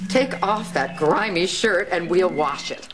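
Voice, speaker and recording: A woman's voice: lowish voice, measured pace, close to an everyday voice. An actress playing a fond, fussing mother in an old-time radio serial, with organ music behind her. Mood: fond, bright.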